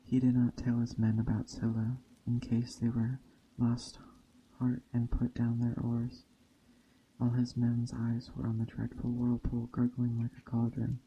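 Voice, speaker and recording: A man tells an old myth in a very monotone, measured way. His voice is dark and soft-edged with a gravelly creak. He sometimes trips on a word as he reads. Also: medium pitch, lo-fi sound, a little hiss or hum. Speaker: storyteller. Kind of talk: reading a Greek myth story aloud.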